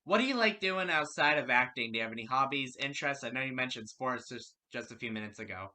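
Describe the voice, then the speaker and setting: A man's voice: medium pitch, animated, fast, pushed and bright. A man hosting an interview show, politely and formally putting prepared questions to a guest. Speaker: radio show host and interviewer.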